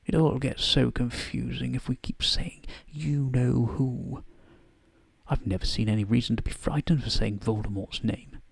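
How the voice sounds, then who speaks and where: A man voicing a gentle, calm old male wizard in a bedtime story, speaking softly in a measured, unhurried way. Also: mid-range voice, gravelly, husky. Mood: patient, reasoning. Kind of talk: softly voiced bedtime story character acting.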